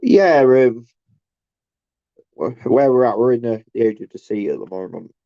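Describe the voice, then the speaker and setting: Man, croaky sore throat, tired, fry-heavy, halting rambling vlog talk, exasperated swearing, dry muffled recording. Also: medium pitch, expressive, no echo. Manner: halting, repetitive, searching for words. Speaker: solo video host. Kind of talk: casual rambling monologue to camera. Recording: dull, lo-fi sound.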